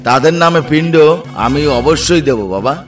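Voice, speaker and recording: A man's voice: a little high, moderate pace, a bit bright, lightly acted. A man voicing an anxious village headman in a cartoon. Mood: worried. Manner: anxious, pleading. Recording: distant voice with faint music.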